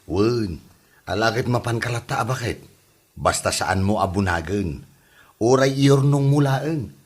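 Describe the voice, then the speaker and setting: Husky, deep grumbling Ilocano husband acted by a man. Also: lively intonation, a little slow. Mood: grumbling, reluctant. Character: reluctant, grumbling working husband in a village. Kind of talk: Ilocano comedy drama acting.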